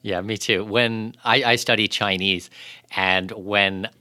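A man's voice: lowish voice, steady tone, takes it slowly, pushed and bright, no echo. A man hosting a podcast interview, chatting in an enthusiastic way and laughing easily. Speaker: podcast host. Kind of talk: casual interview chat.

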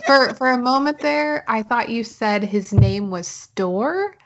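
A woman co-host chats at an unhurried pace, teasing her guest with easy giggles. The recording sounds a little muffled and lo-fi. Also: on the high side, lively intonation, very noisy. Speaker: podcast co-host. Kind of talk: casual chat.

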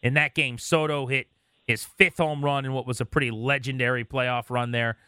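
Bright-voiced American male sports host. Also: medium pitch, steady tone, normal speed, clear, resonant tone, dry recording, clean studio sound. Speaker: sports talk show host. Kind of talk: casual sports chat.

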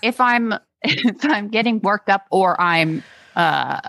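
A woman's voice: mid-range voice, lively intonation, takes it slowly, creaky, sharp tone, no room sound. A woman with a Texan accent co-hosts a podcast, chatting casually with plenty of restarts and laughter. Her voice sits mid-range and sounds dry and close. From Texas, United States. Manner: laughs often, rambling with restarts. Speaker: podcast co-host. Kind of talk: casual chat.

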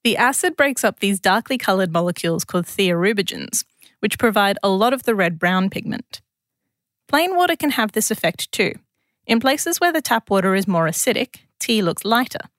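Woman, Australian accent, mid-pitched, conversational scripted science narration, science journalist, very dry recording. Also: expressive, moderate pace, a bit bright, no echo.

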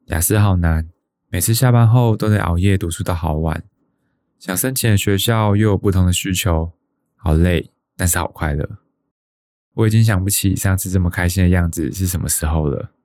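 A man's voice: low voice, moderate pace, darkish tone, no room sound, studio quality, close to an everyday voice. An actor with a Taiwanese Mandarin accent playing an earnest, determined man in his thirties in a radio drama. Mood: wistful, happy. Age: 30s. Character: earnest, determined thirty-two-year-old son chasing a dream. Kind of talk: radio drama monologue and dialogue.

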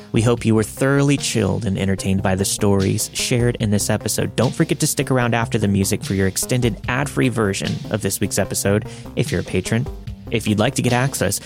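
Male horror podcast narrator with a lowish voice, scripted delivery, soft music underneath. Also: creaky. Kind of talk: scripted narration of listener-submitted horror stories.

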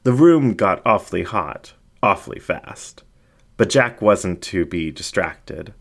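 A man's voice: low voice, lively intonation, slow pace, gravelly. A man narrating a story in a dramatic, scripted audiobook style.

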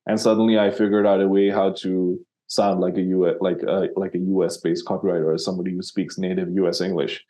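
A man's voice: low-pitched, little pitch movement, fast, few pauses, mellow. A man from Sri Lanka, a copywriter guest in an interview, talking casually and rambling with many fillers and restarts.

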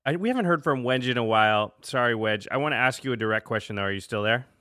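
A man's voice: medium pitch, lively intonation, fast, pushed and bright, dry recording, studio quality. A man hosting a podcast in casual, conversational talk. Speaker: podcast host.

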